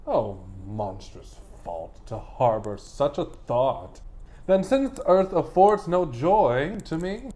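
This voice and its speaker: Man acting a slow, brooding, scheming nobleman. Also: a little high, big pitch swings, mellow. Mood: tormented resolve. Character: scheming, bitter, misshapen nobleman hungry for the crown. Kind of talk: theatrical Shakespearean soliloquy.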